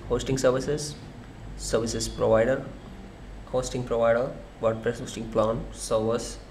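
Man walking through SEO software. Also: medium pitch, slight background noise. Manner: loose, conversational explaining with fillers and restarts. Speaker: SEO tutorial presenter. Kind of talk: screen-share software walkthrough.

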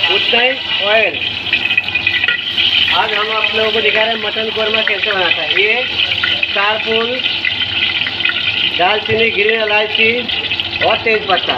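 A man's voice: high-pitched, dull audio. A man casually narrating a cooking demonstration as the cook, in a step-by-step way. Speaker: cook presenting a recipe. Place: restaurant kitchen, indoors. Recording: noisy kitchen, loud background roar.

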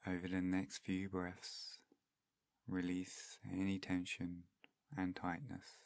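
A man guiding a meditation in a soft-spoken, soothing, unhurried manner with long pauses between phrases. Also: low voice, monotone, dry recording, clean studio sound. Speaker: meditation guide. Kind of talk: guided meditation narration.

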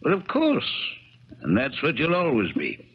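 Animated, halting elderly uncle. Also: male voice, a little high, husky, heavily acted. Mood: fond, wistful. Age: older. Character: ailing elderly uncle, fond, evasive, sentimental. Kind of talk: 1970s radio drama acting. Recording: crackly, dull 1970s radio recording.

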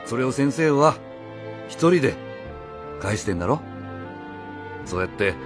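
An actor plays a middle-aged father, easygoing and kind, with a very expressive, swooping delivery. He is blunt and gently teasing, in a radio drama with music clearly behind him. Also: fairly low pitch. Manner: casual, blunt, familiar. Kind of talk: Japanese radio drama acting.

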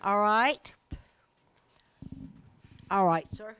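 A woman's voice: medium pitch, extremely slow, a bit bright, a little hiss or hum. An older woman hosting a birthday gathering at a senior center, folksy and affectionate, speaking into a handheld mic in a large community room. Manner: folksy, calls people honey. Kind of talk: casual emcee chat.

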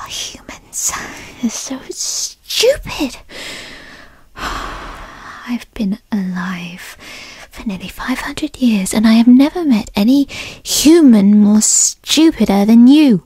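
A woman voicing a bratty, insecure centuries-old kitsune fox spirit in a huffy, breathy ASMR whisper, close to the mic. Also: fairly high pitch, lively intonation, darkish tone, no echo, full character voice. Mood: exasperated, scolding. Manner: huffy, sighing, breathy whispering, drawn-out words. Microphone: close microphone in a dry space.

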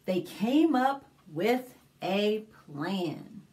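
A middle-aged American woman hosting a storytime, reading a picture book aloud to young children in an expressive, deliberate way with simple explanations. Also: medium pitch. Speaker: children's librarian and storytime host. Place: small indoor room, plain backdrop.

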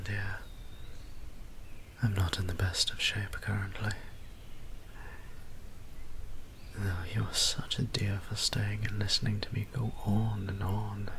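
A gentle young male vampire priest, acted by a man, murmurs in a breathy whisper for an ASMR roleplay. His delivery is very even and slow, weary and courteous, with hesitant pauses. There is faint noise behind the voice. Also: deep voice. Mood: tired, apologetic. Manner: formal, polite, hesitant, halting phrasing. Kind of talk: soft-spoken ASMR roleplay.

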